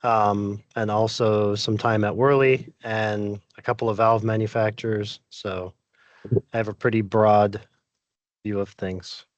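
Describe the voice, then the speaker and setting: A man with a lowish, flat voice gives a brief, plain introduction. The call audio is dry and muffled. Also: unhurried, no echo. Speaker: meeting participant. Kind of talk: casual video-call introduction. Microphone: video call.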